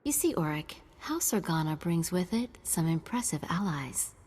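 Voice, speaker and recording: Low, husky dignified ambassador. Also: female voice, big pitch swings, normal speed, a little mellow. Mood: courteous, earnest. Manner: formal, courteous, diplomatic. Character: gracious, dignified female ambassador of a noble house. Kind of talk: video game cutscene acting. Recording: long hall reverb.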